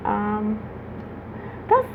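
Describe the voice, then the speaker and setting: A shy, quiet woman from Trinidad, a guest in an interview, answering plainly. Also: mid-range voice, very animated, extremely slow, gravelly, background noise. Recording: tinny phone-like line with music.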